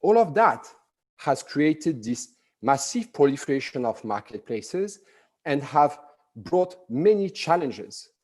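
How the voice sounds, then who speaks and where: A middle-aged man with a thick French accent giving a prepared conference talk, measured and full of "uh" pauses. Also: on the high side, sing-song, darkish tone. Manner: measured, frequent "uh" fillers. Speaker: conference presenter.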